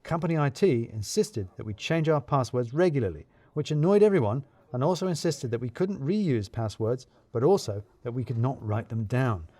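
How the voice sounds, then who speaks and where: A man narrating a scripted first-person story in a wry, dryly comic way with deliberate phrasing. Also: mid-range voice, animated, warm tone, no room sound.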